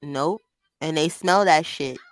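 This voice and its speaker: A woman with a high voice hosting a casual chat, talking in a blunt, profane, animated way. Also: slow, no echo. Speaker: host.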